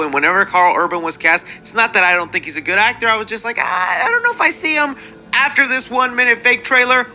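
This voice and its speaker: A man with a fairly high, intensely bright voice hosts casual movie news. He talks in an enthusiastic, slangy, conversational way, straight to his viewers.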